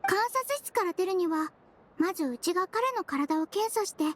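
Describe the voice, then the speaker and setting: A woman voicing a small, sweet head nurse in an anime game, girlish and gentle, with reassuring phrasing. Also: very high-pitched, expressive, normal speed. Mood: calm, reassuring.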